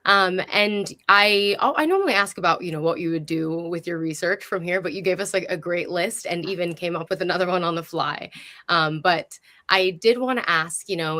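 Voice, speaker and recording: A woman's voice: fairly low pitch, moderate pace, sharp tone. A woman hosting a conversational interview, speaking in an appreciative, encouraging way. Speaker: host.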